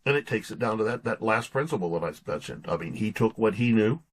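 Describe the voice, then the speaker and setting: A man's voice: lowish voice, animated, quick pace. A man in his sixties telling stories casually as an interview guest, in a folksy, earnest way with stop-and-start phrasing. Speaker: podcast guest, pastor and author.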